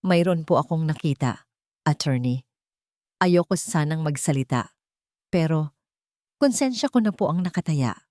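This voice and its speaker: A woman's voice: deep voice, lively intonation, measured pace, pauses often, warm tone, no room sound, clean studio sound. A woman with a Filipino accent voicing a frightened, conscience-stricken old retired female hospital worker in a story. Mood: anxious confiding.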